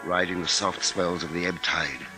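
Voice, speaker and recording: An actor playing a grave husband and father in a 1950s radio drama, speaking in a measured, deliberate way. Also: deep voice, steady tone, natural voice, poor audio. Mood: grave, foreboding. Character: grim, capable husband and father narrating a siege. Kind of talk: 1950s radio drama acting and first-person narration. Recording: 1950s radio recording with music underscore.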